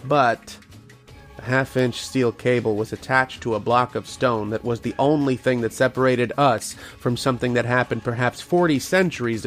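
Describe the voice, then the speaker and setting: A man's voice: mid-range voice, natural voice. A man playing a learned, patient archaeologist who explains and narrates in an old-time radio horror drama style. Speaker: lead actor and narrator.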